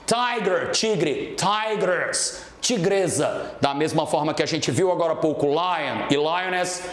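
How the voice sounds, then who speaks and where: A Brazilian man teaching a grammar lesson as an English teacher, explaining didactically and checking that students follow. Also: fairly high pitch. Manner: didactic, checks understanding often. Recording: roomy, echoing room sound.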